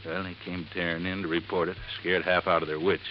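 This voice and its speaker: Man acting a low-voiced folksy radio resort handyman. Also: level tone, fairly quick, lightly acted. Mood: troubled, earnest. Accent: American. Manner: folksy, rambling storytelling with dropped formal grammar. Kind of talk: 1950s radio drama acting. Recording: dull, slightly noisy vintage radio recording.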